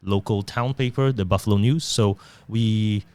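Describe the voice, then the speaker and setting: A middle-aged man from Singapore and Taiwan, a guest on a podcast, chatting in a relaxed, self-deprecating way. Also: lowish voice, moderate pace, clear, resonant tone. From born in Taiwan, raised in Singapore. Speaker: podcast guest, digital marketer. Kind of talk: casual interview conversation.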